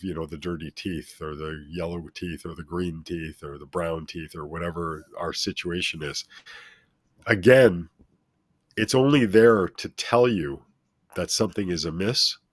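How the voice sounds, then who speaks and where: A middle-aged or older man with a deep, authoritative voice, speaking as a motivational guest and addressing listeners in an emphatic, instructive way. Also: measured pace, no room sound, studio quality. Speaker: talk show guest, self-help speaker. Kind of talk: motivational interview talk, speaking at length.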